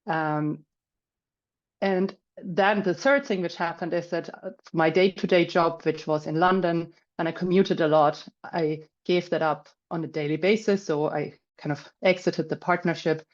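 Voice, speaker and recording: Low-voiced German woman on a video call. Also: steady tone, unhurried, highs cut off. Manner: chatty, many fillers and restarts. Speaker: webinar speaker. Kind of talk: casual presentation.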